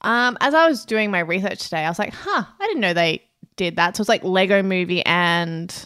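A woman co-hosting a casual podcast, blunt and quick to swear. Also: on the low side, animated, a bit bright, clean tone, no room sound, studio quality. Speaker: podcast co-host.

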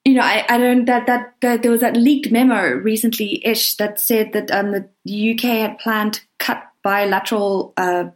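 A woman with a South African accent talking earnestly and emphatically in a conversational interview, often padding her points with hedging phrases. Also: medium pitch. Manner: earnest, emphatic, hedging with frequent "you know" and "kind of". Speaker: interview guest, writer. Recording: roomy, echoey sound.

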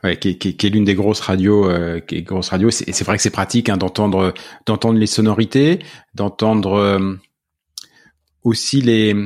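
A man hosting a podcast interview, asking measured, probing questions. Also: lowish voice, steady tone, darkish tone. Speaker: podcast host.

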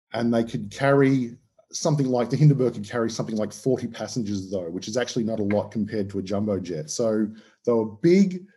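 A man's voice: mid-range voice, mellow, husky. An Australian man, a historian, explaining things in an interview with many ums and restarts. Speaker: historian guest.

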